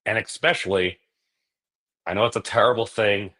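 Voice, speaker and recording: Male livestream host, lowish voice. Casual, digressive, jokey and happy to poke fun at himself. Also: steady tone, moderate pace, sharp tone. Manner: self-deprecating, jokey, digressive. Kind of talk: casual rambling livestream chat.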